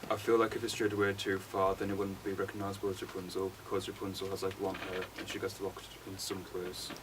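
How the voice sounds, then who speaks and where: A young man, a college student giving an informal class presentation in a flat, hesitant way. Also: low-pitched, quick pace. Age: young adult. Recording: distant mic, faint room noise.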